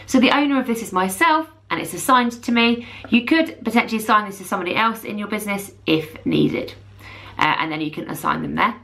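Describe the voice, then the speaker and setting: A woman in her thirties presenting a software tutorial, explaining plainly step by step. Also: medium pitch, animated, brisk, gravelly. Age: 30s. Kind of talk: instructional screen walkthrough.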